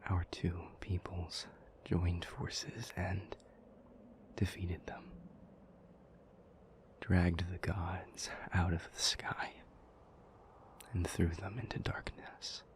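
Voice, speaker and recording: A man playing an ancient, somber, thoughtful demon in an intimate audio roleplay, telling old myths in a breathy, hushed, halting voice with long pauses. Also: low voice, flat delivery. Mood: solemn storytelling. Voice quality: breathy, whispery.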